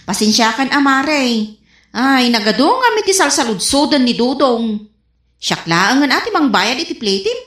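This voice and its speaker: A woman's voice: high voice, very animated, normal speed, fairly bright tone. A woman with an Ilocano accent playing a boastful, trusting wife with a breezy, dismissive manner in a comedy radio drama. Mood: boastful, amused. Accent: Ilocano, from the Philippines. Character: boastful, trusting married woman showing off savings. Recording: echoey room, faint background noise.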